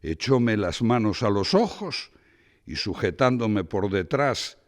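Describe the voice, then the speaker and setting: Expressive male reader with lively intonation. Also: fairly low pitch, slow. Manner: expressive, dramatic phrasing with ironic asides. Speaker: reader of a literary essay. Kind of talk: theatrical literary reading.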